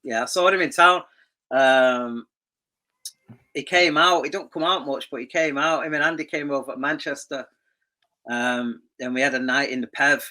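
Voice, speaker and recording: Bright-voiced man in his fifties. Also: mid-range voice, fairly even intonation, normal speed. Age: 50s. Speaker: podcast guest, graphic designer. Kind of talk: casual chat.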